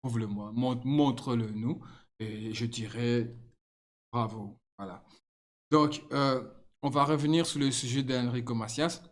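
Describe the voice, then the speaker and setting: Middle-aged male host, non-native speaker, slow and halting, casual commentary with frequent laughs. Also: medium pitch. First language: not French. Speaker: channel host.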